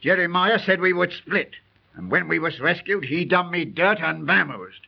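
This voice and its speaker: An actor playing a surly, unlucky old sailor turned burglar, grumbling in rough colloquial speech in a 1930s radio drama. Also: male voice, high voice, normal speed. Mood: bitter storytelling. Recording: muffled, band-limited 1930s radio recording.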